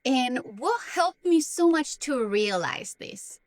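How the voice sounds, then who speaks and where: A woman's voice: high voice, animated, slow pace. A young woman from Argentina whose first language is Spanish, talking earnestly to camera about her own life in a self-help vlog. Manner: earnest, confiding, with a few restarts. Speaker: self-development vlogger. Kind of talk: personal talk to camera.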